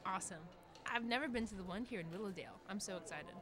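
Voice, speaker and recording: A woman's voice: mid-range voice, fast talker, a crowd in the background, lightly acted. Woman playing a sweet, hopeful teenage girl. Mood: excited. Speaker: voice actor. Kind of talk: fiction podcast dialogue.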